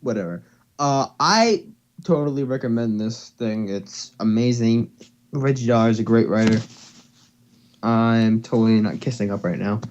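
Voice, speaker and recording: A man's voice: medium pitch, moderate pace, darkish tone. Dull-sounding amateur male narrator. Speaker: narrator and co-host. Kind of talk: amateur fan fiction reading with casual asides. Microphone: computer or headset mic on a voice call.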